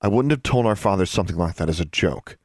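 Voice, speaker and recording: A man's voice: deep voice, animated, fast, no echo, clean studio sound. A man voicing a bold, stubborn thirteen-year-old witch in an audiobook story, defiant and insistent. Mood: firm.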